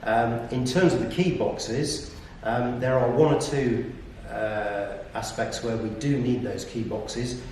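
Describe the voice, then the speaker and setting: A man explaining business tips conversationally, in hesitant, measured phrases. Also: medium pitch, a little mellow. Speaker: property business operator giving tips. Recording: echoey room, distant mic, faint noise.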